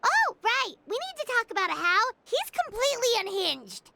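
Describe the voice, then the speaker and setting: A woman voicing a tiny, excitable, childlike fairy companion in a video game, bubbly and chatty. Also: extremely high pitch, normal speed, gravelly, heavy background noise, exaggerated voice. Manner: bubbly, chatty, refers to self in third person. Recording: music behind the voice. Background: game background music.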